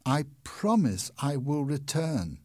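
A man's voice: on the high side, very animated, takes it slowly, darkish tone, no echo, exaggerated voice. A man putting on a frightened young singer. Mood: pleading. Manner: tense, fearful.

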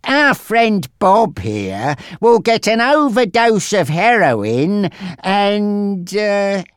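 A narrator voicing a menacing, taunting male gangster boss in an audiobook story, with a threatening, drawn-out delivery. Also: medium pitch, big pitch swings, clean tone, no room sound, studio quality, voice pushed up. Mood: cold threatening.

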